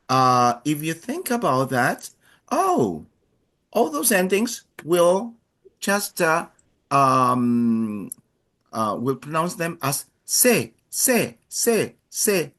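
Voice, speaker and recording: Slow, encouraging man with a Chilean accent. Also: fairly high pitch, big pitch swings, a bit bright. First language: Spanish. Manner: encouraging, playful, many filler sounds. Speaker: Spanish teacher. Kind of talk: language class instruction.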